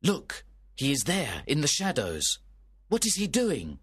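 A man voicing Mercutio, a boisterous young man who teases loudly and calls out in a raised, high voice. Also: huge pitch swings, a little slow, breathy edge, no room sound, studio quality, heavily acted. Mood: curious. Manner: loud, teasing, calls out.

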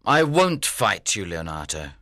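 A man voices a cocky young nobleman in an audiobook story, sure of himself and dismissive. His pitch moves widely, and the recording is close and dry. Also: big pitch swings, measured pace, sharp tone, dry recording, exaggerated voice. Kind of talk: dramatised audiobook acting.

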